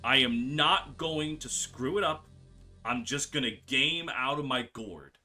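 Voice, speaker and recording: A man commentates a game playthrough in a very bright, forceful voice. His pitch swings widely as he exclaims and stretches words for emphasis. Game music plays quietly behind him. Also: animated, a little slow. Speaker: gaming video host. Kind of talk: playthrough commentary, reading game dialogue aloud.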